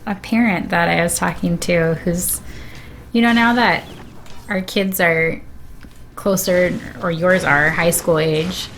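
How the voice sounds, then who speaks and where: A woman's voice: fairly low pitch, fairly even intonation, slow pace, fairly bright tone, slight background noise. A middle-aged woman chatting casually as a guest on a live game stream. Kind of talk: casual chat.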